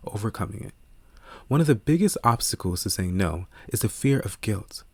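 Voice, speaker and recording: Low, dry-sounding male audiobook narrator. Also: a little mellow, no room sound.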